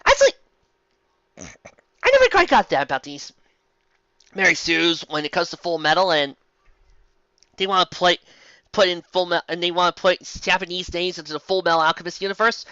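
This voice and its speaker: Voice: mid-range voice, big pitch swings, normal speed, pauses often, bright, forceful tone, clean tone, no room sound. A fan fiction reviewer giving a mocking dramatic reading with theatrical, exasperated commentary and sudden outbursts. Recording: dull lo-fi recording.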